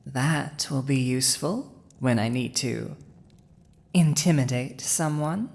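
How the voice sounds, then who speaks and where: A woman voicing a villainous dragon usurper in a roleplay audio, haughty and possessive, speaking to the listener with imperious, teasing pauses. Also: very deep voice, gravelly, a little mellow, exaggerated voice. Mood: gloating, admiring.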